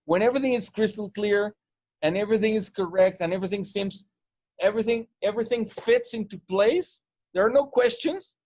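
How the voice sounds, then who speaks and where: A man's voice: sits high, a little fast, a little mellow, no room sound. A man from Mexico with a Spanish-tinged English accent, coaching on sales in casual chat, often restarting and repeating words. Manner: repetitive restarts, stumbling over words.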